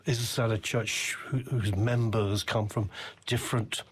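A man's voice: fairly low pitch, steady tone, measured pace, slight background noise. An older man from the UK, interviewing a guest with rambling, reflective questions. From United Kingdom. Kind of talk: conversational interview.

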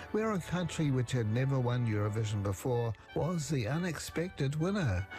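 Upbeat male radio host over music. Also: a little high. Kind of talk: scripted radio promo. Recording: music bed behind voice.

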